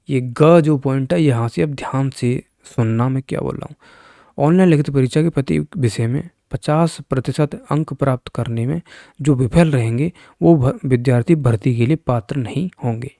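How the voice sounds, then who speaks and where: A man's voice: medium pitch, vocal fry, mellow, dry recording, studio quality. A man giving an informal exam explainer in a blunt, emphatic way, repeating phrases to drive points home. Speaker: exam coaching YouTuber.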